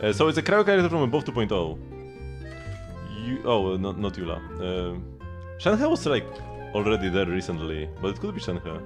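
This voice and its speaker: A man casually commentating on a game livestream, thinking aloud haltingly and repeating words as he goes. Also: fairly low pitch, wildly swooping pitch, music in the background. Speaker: live streamer.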